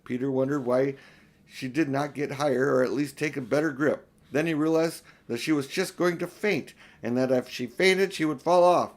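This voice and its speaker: A man's voice: mid-range voice, moderate pace. An older man narrating a children's storybook as an amateur audiobook reader, in a plain, homely manner.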